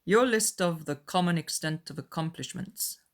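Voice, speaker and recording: A woman voicing a proud, grave young gentleman with formal, measured speech in a period novel. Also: fairly low pitch, natural voice. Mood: reserved, superior. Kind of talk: dramatized period novel audiobook.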